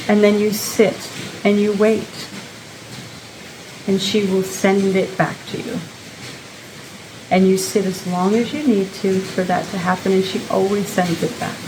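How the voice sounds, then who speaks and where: A woman leading an informal guided meditation, speaking gently in short, unhurried phrases with pauses between them. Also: mid-range voice, background noise.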